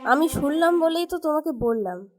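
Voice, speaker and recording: A caring village wife in a cartoon, acted by a woman, with a markedly high voice. She speaks with concern and caution, counselling her husband as a sensible partner would. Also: expressive, normal speed, soft background music. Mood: worried. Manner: concerned, advising. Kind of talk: cartoon dialogue acting.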